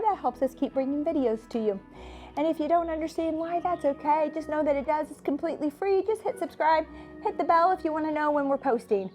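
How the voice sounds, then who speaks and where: A woman working as a fitness instructor, counting and cueing exercises in a chatty, encouraging way with self-deprecating asides, her nose a little stuffed up. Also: high voice. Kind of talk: workout coaching, counting and cueing to camera.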